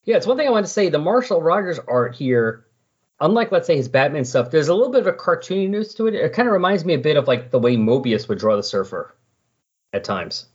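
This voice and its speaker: A man with a slightly rough, husky voice hosts a casual comics podcast. He explains and recaps in a conversational way. The recording is somewhat dull and lo-fi. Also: on the high side, very animated, fast talker. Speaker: podcast host. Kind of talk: casual comic book discussion.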